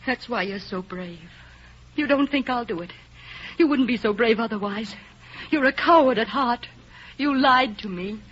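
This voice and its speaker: A woman's voice: on the high side, moderate pace, lightly acted. An actress playing a proud, vengeful, lovelorn female agent with intense, melodramatic delivery in a 1930s radio drama. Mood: bitter, wounded. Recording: noisy, muffled vintage radio recording.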